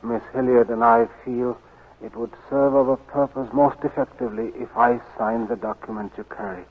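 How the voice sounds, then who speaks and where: On a thin, band-limited vintage radio recording, an actor plays a dignified prince resigned to his fate. The man's voice is husky and mid-pitched, measured, formal and solemn in 1940s radio drama manner. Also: fairly even intonation, phone-quality sound, close to an everyday voice. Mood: formal, grave. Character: grave, dignified Japanese prince resigned to death.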